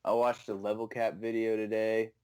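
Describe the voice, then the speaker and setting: Casting: laid-back young male guest, lowish voice, flat, even delivery. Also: normal speed, clean tone. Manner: laid-back, plain-spoken, casual swearing. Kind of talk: casual gaming podcast chat.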